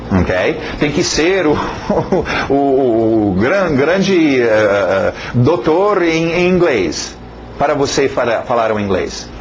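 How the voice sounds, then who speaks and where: Animated non-native male teacher. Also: medium pitch, slow pace, dull audio. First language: not Portuguese. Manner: conversational, repeats words for emphasis, often checks with "ok?". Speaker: English teacher. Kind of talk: informal spoken lesson. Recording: noticeable background noise.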